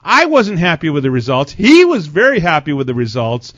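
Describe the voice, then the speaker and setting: A man's voice: on the high side, wildly swooping pitch, fairly quick, fairly bright tone. A man hosting a sports talk show, interviewing a guest in a conversational way. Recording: muffled, roomy sound.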